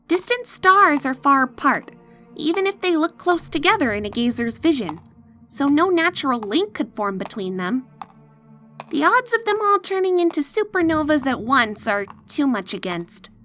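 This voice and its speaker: A woman voicing a studious young female pony stargazer, awed and inquisitive, in expressive, deliberate first-person storytelling. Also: high voice, exaggerated voice. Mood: puzzled, uneasy. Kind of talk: dramatic first-person audiobook narration. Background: background music.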